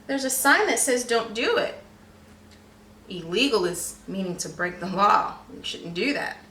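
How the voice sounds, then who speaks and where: A woman reading a children's storybook aloud in a teacherly, expressive way, pausing to question and explain. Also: medium pitch, a little hiss or hum. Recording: roomy, echoey, slightly distant microphone.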